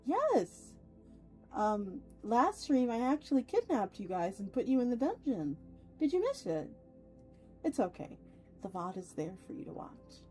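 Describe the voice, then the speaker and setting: A woman livestream host speaks in a fairly high, slightly theatrical voice at a slow pace. She is playful and effusive as she chats casually with her viewers. Faint music plays behind her. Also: big pitch swings, warm tone.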